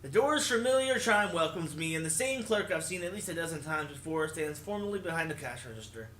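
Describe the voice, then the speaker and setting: A man hosting a let's play, reading a visual novel aloud as expressive narration and occasionally rereading a line. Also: fairly high pitch, brisk, slight background noise. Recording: echoey room, music behind. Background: game music.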